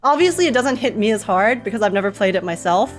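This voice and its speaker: A giggly, excitable woman giving casual reaction commentary as she plays a game. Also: medium pitch, quick pace, soft background music.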